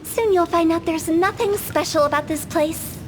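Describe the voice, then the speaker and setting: A mischievous young funeral director who teases the people she guides around. Her voice is very high and breezy, and a faint hiss sits under it. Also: moderate pace. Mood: teasing. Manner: teasing, breezy tour-guide patter. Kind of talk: video game voice acting.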